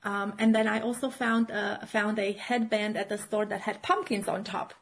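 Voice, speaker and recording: A woman's voice: mid-range voice, level tone, normal speed, vocal fry. Chatty woman. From Romania. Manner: chatty, many fillers and restarts, laughs often. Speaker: children's author and teacher. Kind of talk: casual interview chat.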